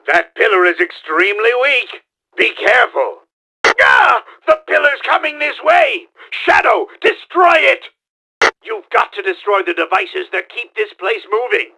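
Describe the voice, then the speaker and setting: A male actor voicing a bombastic, scheming middle-aged mad scientist villain in a video game, with a raspy, gravelly voice and pompous, theatrical delivery. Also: high voice, pushed and bright, heavily acted, poor audio. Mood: blustering, alarmed, then urgent, instructing. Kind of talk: cartoonish video game voice acting.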